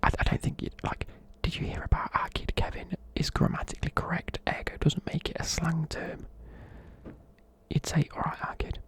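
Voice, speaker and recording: A man, whispering softly in a chatty, rambling way while explaining words. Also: on the high side, monotone, moderate pace, husky. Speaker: ASMR creator. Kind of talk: whispered ASMR explaining slang.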